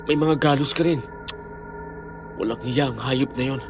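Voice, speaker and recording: A man's voice: medium pitch, normal speed, natural voice. An actor playing a protective, determined young boyfriend in an audio horror drama, firm in his manner. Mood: tender concern. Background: music.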